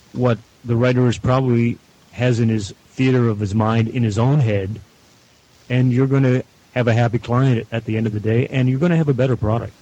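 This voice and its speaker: An older man from Canada, a veteran producer, giving his view on a panel in deliberate, orderly phrases. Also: medium pitch, mellow, poor audio. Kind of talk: casual panel discussion.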